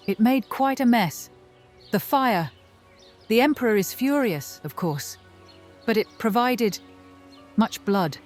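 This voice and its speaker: A woman playing an enigmatic vampire, a motherly figure who speaks slowly and deliberately, soothing and persuasive, in a fantasy horror audio drama. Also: fairly low pitch, a little mellow. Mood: cool, sly. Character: enigmatic, coaxing female vampire, motherly mentor to an orphan.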